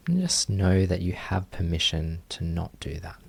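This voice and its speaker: A man leading a guided meditation as a teacher, speaking in a gentle, unhurried manner with long pauses. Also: deep voice, big pitch swings, vocal fry, husky.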